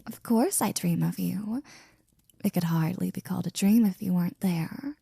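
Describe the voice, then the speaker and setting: A woman playing a devoted, flirty and jealous maid in an intimate ASMR roleplay, speaking softly and close to a whisper with teasing, courtly wording. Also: fairly low pitch, moderate pace, no echo, full character voice. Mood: adoring, teasing. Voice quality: soft, breathy, often near whispering. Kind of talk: ASMR roleplay, intimate one-sided scene.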